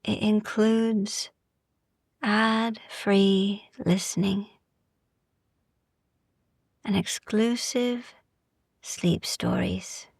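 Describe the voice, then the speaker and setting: A woman guiding a sleep meditation in a soft, gentle, soothing ASMR style, hushed and often slipping into whispers, with long pauses. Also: medium pitch, fairly even intonation, vocal fry, no echo, studio quality. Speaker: sleep meditation podcast host.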